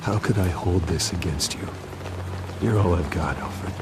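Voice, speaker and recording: A man's voice: deep voice, a little slow, heavy background noise. An actor playing a troubled young billionaire man, speaking in an intense, clipped, brooding way. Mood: soft. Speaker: billionaire heir. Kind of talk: video game cutscene acting. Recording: game audio with rain ambience. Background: rain and ambience.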